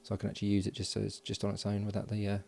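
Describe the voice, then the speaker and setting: A man's voice: on the low side, completely flat delivery, rapid-fire, vocal fry, darkish tone, a little hiss or hum. A man giving casual, unscripted hands-on commentary as he builds an electronics project, murmuring along while he works. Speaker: hobbyist electronics builder and presenter.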